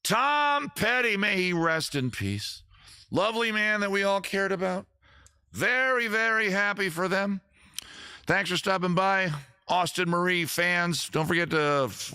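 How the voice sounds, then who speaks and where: Bright-voiced, rambling man chatting on stream. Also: sits high, clean tone, no room sound. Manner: rambling, jokey, self-mocking. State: tipsy from drinking. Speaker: live-stream host and singer. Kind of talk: casual live-stream chat between songs. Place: room at home. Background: faint guitar.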